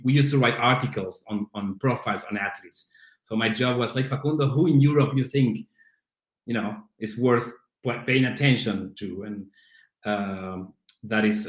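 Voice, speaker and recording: A man in his thirties whose first language is Spanish talks in a roomy, echoing space at a middle pitch. He speaks haltingly, restarting phrases and laughing at himself as he tells stories in a relaxed interview. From Argentina. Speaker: podcast guest, CrossFit coach. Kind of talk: casual interview chat.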